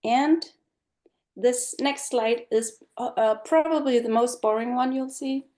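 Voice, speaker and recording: Danish woman, middle-aged, slow and thoughtful speaker, video-call mic. Online talk. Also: on the high side, dark tone. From Denmark. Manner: slow, thoughtful, many fillers. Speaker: guest speaker.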